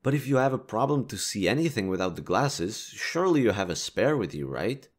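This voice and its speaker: A man playing a kind, reassuring young stranger in an intimate audio roleplay, speaking gently, considerately and a little hesitantly. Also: lowish voice, very animated, brisk. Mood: concerned, curious. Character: kind, reassuring young male stranger helping a distressed girl.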